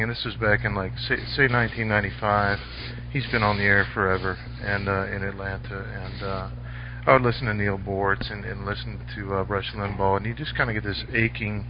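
A man on a casual call-in radio show, talking in a halting, rambling way. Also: fairly low pitch. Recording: lo-fi radio stream with faint hiss.

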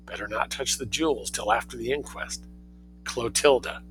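A man reading a story aloud, voicing a sly letter-writer in a hushed, teasing tone. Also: mid-range voice, normal speed, soft background music, close to an everyday voice, rough recording. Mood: mocking.

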